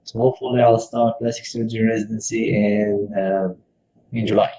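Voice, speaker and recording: A man's voice: on the low side, little pitch movement, normal speed, dark tone, a little hiss or hum. Hesitant young man from Syria. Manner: hesitant, with frequent fillers and restarts. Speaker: guest speaker, medical research fellow. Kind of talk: informal advice talk. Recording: echoey room.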